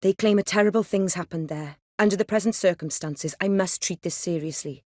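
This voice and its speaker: A woman's voice: on the low side, fairly quick, warm tone, no room sound, clean studio sound, lightly acted. A woman playing an ancient, aristocratic female vampire in a video game, with a refined, cool, worldly and dryly condescending manner. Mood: composed, polite. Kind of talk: video game dialogue acting.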